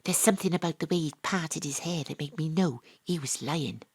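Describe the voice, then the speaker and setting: An actress playing a brisk, sardonic female private investigator in an audio drama, telling the story in a dramatic, knowing way. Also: deep voice, slightly rough, no echo, studio quality. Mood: dramatic, confiding. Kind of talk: audio drama narration and dialogue, hard-boiled detective parody.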